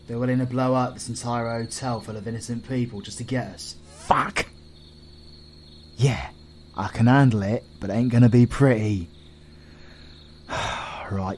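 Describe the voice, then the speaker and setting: A man's voice: mid-range voice, slight background noise, lightly acted. A British man playing a cocky, sardonic supervillain antihero in an audio roleplay, with blunt, sweary, colloquial banter. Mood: alarmed, defiant.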